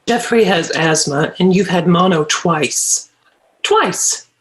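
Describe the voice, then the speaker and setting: A woman's voice: lowish voice, takes it slowly, poor audio. A woman playing an exasperated, protective mother in a screenplay table read. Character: exasperated, protective mom of three.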